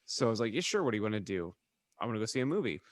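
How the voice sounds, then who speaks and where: A man's voice: on the low side, big pitch swings, very fast, no echo. A man co-hosting a podcast, telling rambling anecdotes in a casual, comedic chat with a wry manner and ready laugh. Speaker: podcast co-host.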